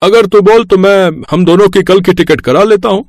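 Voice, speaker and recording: A sly, smarmy father-in-law who coaxes his daughter-in-law, voiced by a man for a cartoon. His voice runs somewhat high for a man, and the recording is dry and close. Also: normal speed, a little mellow, no room sound. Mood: coaxing. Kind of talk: cartoon dubbing.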